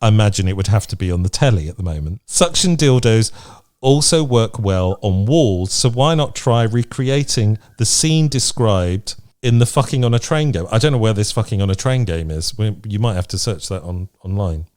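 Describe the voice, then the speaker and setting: British man, low and very lively. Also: moderate pace. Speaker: podcast co-host. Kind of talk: casual chat, reading out from a list.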